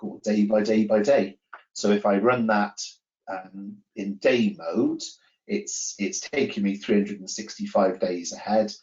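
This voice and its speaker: A man giving an informal software demonstration, talking through the screen with hesitant, repeated phrasing and self-corrections. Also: on the low side. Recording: roomy, distant, muffled microphone.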